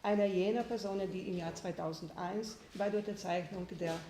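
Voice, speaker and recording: A woman's voice: medium pitch, fairly even intonation, brisk, warm tone. A woman interpreting consecutively at a press conference, fluent and businesslike. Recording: echoey room with background noise.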